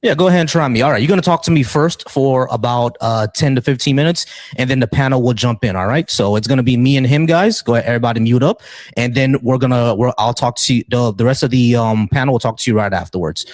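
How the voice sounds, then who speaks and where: A man's voice: mid-range voice, fast, darkish tone, no echo. A man hosting and moderating a live debate panel, insistent and challenging, often repeating himself.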